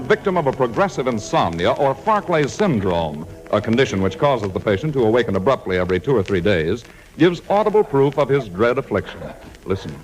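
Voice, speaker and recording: A man announces in formal 1940s radio style, with mock gravity. His medium-pitched voice moves expressively up and down. Music plays clearly behind him on the vintage recording. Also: rough recording.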